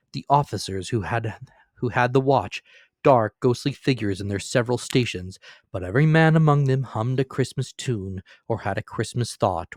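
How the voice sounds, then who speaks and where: A man narrating a classic novel as a dramatic, expressive storytelling reading. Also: fairly low pitch, dry recording, clean studio sound.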